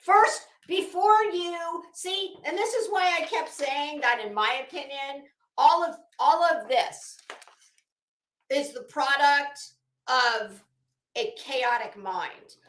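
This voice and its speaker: A woman with a bright, forceful voice delivers opinionated, off-the-cuff commentary. She speaks slowly and emphatically, doubling back on words with open exasperation and sarcasm. The sound is echoey, as if recorded in a bare room. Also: high-pitched. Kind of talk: opinionated legal commentary, unscripted. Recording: roomy, echoing room sound.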